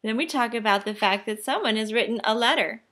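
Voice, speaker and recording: A woman's voice: on the high side, a little fast, fairly bright tone, a little hiss or hum. A woman teacher reading a children's picture book aloud, expressively and with careful, instructive phrasing. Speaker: teacher demonstrating a read-aloud.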